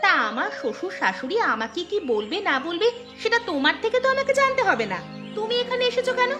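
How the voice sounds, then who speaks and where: A woman acts out an arrogant young bride in a cartoon moral tale. Her voice is extremely high and races along. She snaps haughtily and talks down to everyone, with music clearly playing behind her. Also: very animated, fairly quick. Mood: irritated, scornful. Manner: snappish, haughty. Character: arrogant, rude young new bride of a rich landlord house. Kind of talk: animated moral-story voice acting.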